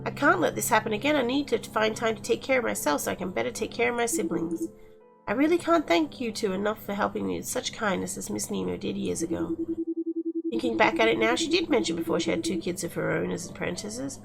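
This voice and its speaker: Woman doing overworked big sibling, earnest and confiding, visual novel, bit of fry, music under. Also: mid-range voice, lively intonation, quick pace, low-quality recording. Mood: grateful, reflective. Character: overworked, earnest, grateful eldest sibling, cafe customer. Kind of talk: visual novel character reading. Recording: music playing behind the voice.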